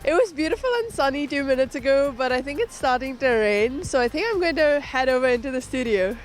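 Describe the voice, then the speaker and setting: A woman's voice: sits high, animated, brisk. A young woman from India introducing herself casually to camera in an upbeat, chatty way. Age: young adult. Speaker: news intern introducing herself.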